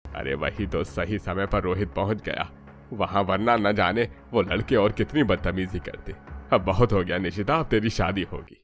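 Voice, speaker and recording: A man's voice: deep voice, normal speed, voice pushed down, natural voice. A man acts out a stern middle-aged father from a poor household in a cartoon. He scolds and insists with a weary, resigned worry, over prominent background music.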